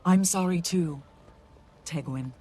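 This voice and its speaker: A man's voice: fairly high pitch, takes it slowly, a little mellow, husky. An actor playing a solemn, consoling male military officer in a video game.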